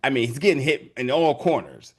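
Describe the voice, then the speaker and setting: A young man hosts a relaxed video interview, his voice at an ordinary pitch for a man. He talks in loose, rambling runs, circling back and restarting his questions over and over. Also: a little fast, slightly rough. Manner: rambling, with many restarts and fillers.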